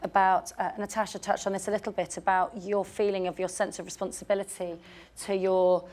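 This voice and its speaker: A woman's voice: medium pitch, level tone, brisk, a little mellow. British woman moderating a panel. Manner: friendly, loose phrasing with fillers.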